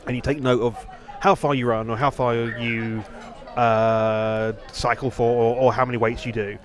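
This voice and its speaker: A man's voice: medium pitch, flat delivery, normal speed. A man in a casual podcast chat, rambling and often rephrasing himself. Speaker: podcast host. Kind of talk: casual two-person chat.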